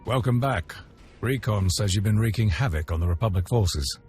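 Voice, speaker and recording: A man acting a hard-bitten mission contact in a game. He has a deep voice and a dry, approving manner, with music behind him. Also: lively intonation, moderate pace, darkish tone, lightly acted. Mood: satisfied. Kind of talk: video game cutscene acting.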